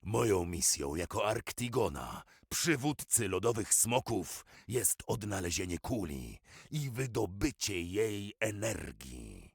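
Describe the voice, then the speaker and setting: A man voicing a gravelly ice dragon lord. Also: low-pitched, no room sound, studio quality, exaggerated voice. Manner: slow, grave declamation. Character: male ice dragon, leader of his kind, solemn. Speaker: voice actor demo. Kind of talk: dramatic character read.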